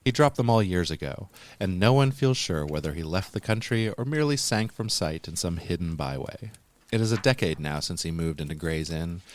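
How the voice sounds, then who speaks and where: A man reading scripted horror narration as a podcast host, in a measured, deliberate and formal manner. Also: low voice.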